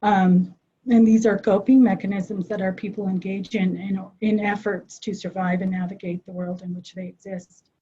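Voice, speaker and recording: A woman's voice: mid-range voice, flat delivery, moderate pace, warm tone, husky. A woman presenting on a webinar in an earnest way, with frequent "um" fillers as she thinks aloud. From United States. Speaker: behavioral health clinician presenting. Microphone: video call. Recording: muffled webinar audio.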